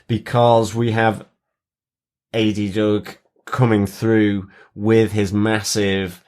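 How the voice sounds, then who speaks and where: Male podcast co-host. Fairly deep pitch, unhurried and halting delivery, informal recap chat. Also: flat delivery, darkish tone. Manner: halting, hesitant phrasing with broken-off sentences. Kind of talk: casual TV recap discussion.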